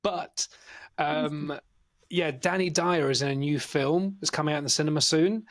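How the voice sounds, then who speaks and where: A Scottish man hosting a podcast, rambling and joking in casual banter. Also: fairly high pitch. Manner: rambling, jokey, quick to laugh. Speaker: podcast host.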